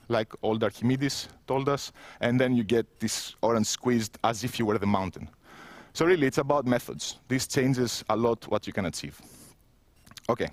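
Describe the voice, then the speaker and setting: A man's voice: mid-range voice, no echo. Keynote speaker, man, 30s, Greek accent, conversational and wry, dry close-miked sound. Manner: self-mocking, conversational, with frequent restarts. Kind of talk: conference keynote. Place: empty indoor room, standing.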